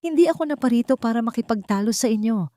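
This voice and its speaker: A woman's voice: on the high side, animated, quick pace, mellow, no room sound, studio quality, pitched above the performer's own voice, natural voice. A woman voicing a wounded, determined young woman who speaks earnestly in an audio love drama. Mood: calm, guarded. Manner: earnest, restrained.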